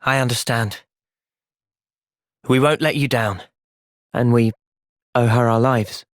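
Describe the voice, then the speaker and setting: A man with an English accent playing an earnest, thoughtful young soldier hero in a video game, speaking sincerely and with measured delivery. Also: mid-range voice, steady tone, no room sound, clean studio sound, lightly acted. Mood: calm, earnest. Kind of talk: video game cutscene voice acting.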